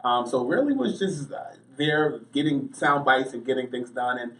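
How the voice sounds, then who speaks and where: A man's voice: mid-range voice, lively intonation, moderate pace, a bit bright. American guy chatting in an interview, tells lots of stories, echoey room, bit far from the mic. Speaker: interview guest, sports journalist. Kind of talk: conversational interview answers. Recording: roomy, distant mic.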